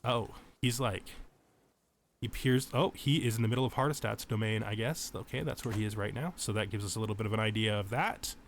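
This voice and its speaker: A man's voice: lowish voice, fairly quick, a little hiss or hum. Man explaining a strategy game. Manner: explanatory, thinking aloud. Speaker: let's play host. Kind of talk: tutorial-style game commentary.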